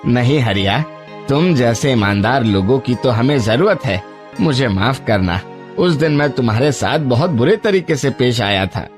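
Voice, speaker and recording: An actor voicing a wealthy merchant and father in an animated moral story, with an earnest, emotive delivery. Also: mid-range voice, normal speed, faint music behind, lightly acted. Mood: kindly, apologetic. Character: wealthy merchant, worried father, grateful employer.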